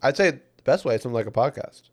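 A man's voice: mid-range voice, animated, very fast, a little mellow. Easygoing slangy male podcast co-host. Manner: conversational, agreeable, slangy. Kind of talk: casual chat about music and celebrities.

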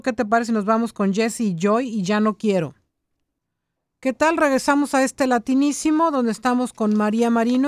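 A woman hosts a radio talk show from a university studio. She keeps the talk moving with quick asides and short "ujú" replies. The room sounds dry and close. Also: on the high side, no echo. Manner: warm chatty interviewer, frequent "ujú" backchannels. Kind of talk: casual radio interview.

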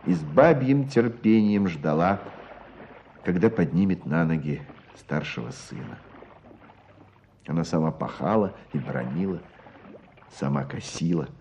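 An actor playing a storyteller in a radio play, narrating village hardship with expressive, deliberate phrasing. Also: male voice, sits low, highs cut off, a little hiss or hum.

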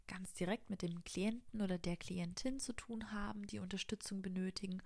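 A woman with a cold hosting an informative podcast, explaining things in an even monologue with the odd filler. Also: medium pitch, normal speed, gravelly. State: has a cold. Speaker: podcast host.

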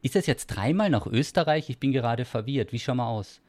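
A woman's voice: rumbling low, animated, a little fast, a little mellow, no room sound. A woman co-hosts a casual gaming podcast, tossing in short, wry asides. Her voice comes through dry and close to the mic, with no room around it. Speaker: podcast co-host.

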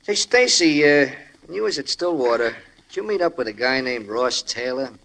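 A medium-pitched man from an old radio crime show. He is nosy and sly, dropping hints in working-class slang and pausing before each insinuation. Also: lively intonation, sharp tone, a little hiss or hum, rough recording. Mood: slyly probing. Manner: insinuating, folksy, hesitant pauses. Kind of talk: 1940s radio crime drama acting. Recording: old-time radio broadcast recording.

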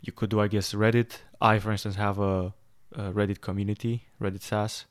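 A man's voice: on the low side, little pitch movement, a little fast, no echo. A man hosting an interview who asks long, rambling questions full of fillers and side remarks. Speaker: podcast host. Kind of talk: conversational interview.

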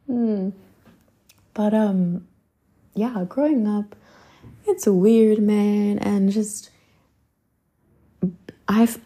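A woman in her twenties hosting a podcast, musing casually in a rambling way with many fillers and soft whispered asides. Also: on the low side. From South Africa. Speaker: podcast host. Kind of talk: casual reflective monologue.

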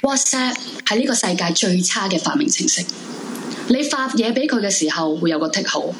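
A woman's voice: mid-range voice, background noise, natural voice. An actress playing an anguished, sleepless young woman left by her boyfriend, emotional and pleading, in a Cantonese radio drama. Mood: resentful, resigned. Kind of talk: Cantonese live radio drama acting with narrated monologue. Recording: music behind the voice. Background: background music.

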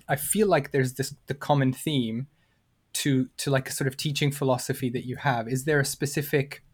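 A man speaking as a podcast host and interviewer, in a conversational style, feeling his way through his phrasing. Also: mid-range voice.

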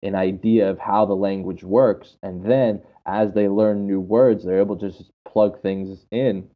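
A man's voice: on the low side, fairly even intonation, measured pace. A man speaking casually and enthusiastically as an English teacher, explaining with anecdotes and repeating phrases for emphasis. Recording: dull, muffled lo-fi sound.

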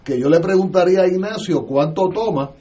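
A man on a political talk radio panel, speaking as a lawyer. His voice sits a little above the usual male range. He pauses a lot, fills gaps with filler sounds and restarts his sentences as he works toward his point. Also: high-pitched, mellow.

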